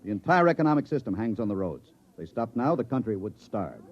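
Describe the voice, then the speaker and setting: A man's voice: fairly low pitch, sing-song, quick pace. A man acting a brusque, middle-aged chief engineer in a 1950s radio drama, barking clipped, authoritative orders. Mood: assured, explanatory. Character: brusque, commanding male chief engineer of moving roads. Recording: dull vintage radio broadcast.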